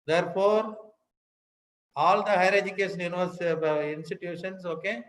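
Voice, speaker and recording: An older man with an Indian accent giving a formal lecture, emphatic and pausing often, repeating key words. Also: high voice, steady tone, fairly bright tone, slight background noise. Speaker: academic speaker. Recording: echoey hall.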